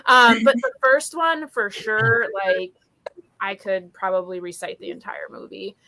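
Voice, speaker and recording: A woman's voice: fairly high pitch, lively intonation, a little slow, pushed and bright, slight background noise, rough recording. A woman in her thirties hosting a casual book live stream over a video call, chatting in an enthusiastic, informal way. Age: 30s. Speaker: host of a book readalong live show.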